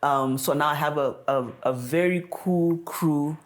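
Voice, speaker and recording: A woman with a low voice, a podcast guest with roots in Kenya and South Africa. She talks in a casual, agreeable way, often doubling up short affirmations. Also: a little slow. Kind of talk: casual conversational interview talk.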